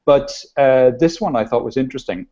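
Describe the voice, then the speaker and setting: Mellow-voiced male show host. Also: medium pitch. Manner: easygoing, halting, with restarts. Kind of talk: casual video chat. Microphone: video call. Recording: dull lo-fi video call audio.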